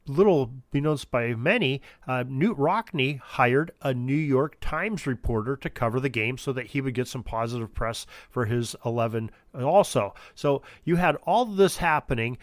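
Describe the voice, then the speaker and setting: A man's voice: medium pitch, lively intonation, normal speed, few pauses, dry recording, studio quality. A man hosting a sports history podcast, chatty and informal, talking straight to his listeners. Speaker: podcast host.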